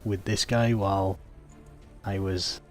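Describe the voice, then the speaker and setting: A low-pitched man talks through his own gameplay in a casual, matter-of-fact manner. He explains his moves step by step, with music playing behind his voice. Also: level tone, slow pace. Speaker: gamer narrating his own playthrough. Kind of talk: casual game commentary, recounting moves.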